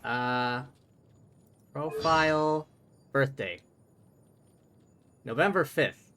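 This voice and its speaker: A man's voice: mid-range voice, very slow, bright, forceful tone, a little hiss or hum. A man livestreaming a game with casual commentary, chatty and thinking aloud. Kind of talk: casual live stream commentary.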